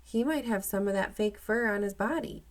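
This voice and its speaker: A woman's voice: medium pitch, normal speed, voice pushed up, natural voice. A woman reading aloud as a chatty, speculating young boy in a children's storybook.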